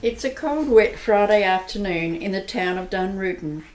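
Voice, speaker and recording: A woman giving steady, plain narration for an amateur radio play. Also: mid-range voice, moderate pace, soft background music, poor audio.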